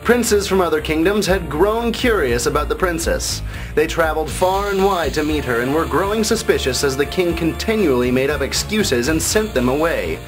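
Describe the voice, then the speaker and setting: Man, scripted fairytale narration, animated intonation, clear music bed. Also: on the high side, normal speed, low-quality recording.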